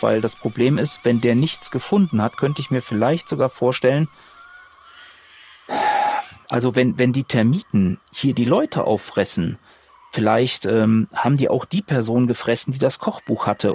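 A man's voice: medium pitch, darkish tone, husky. A man playing a role-playing game, talking casually and improvising in character.

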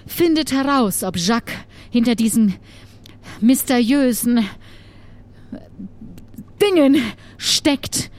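A woman playing a grave witch who protects ghosts, speaking in a measured, solemn way in an improvised audio adventure. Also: on the high side, a little mellow. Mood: alarmed, urgent.

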